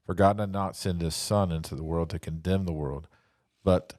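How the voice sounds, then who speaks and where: A man's voice: deep voice, fairly even intonation, moderate pace, darkish tone, no room sound, studio quality. A man hosting a podcast in a conversational devotional style, earnestly exhorting listeners and repeating phrases as he goes. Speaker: podcast host, church ministry speaker.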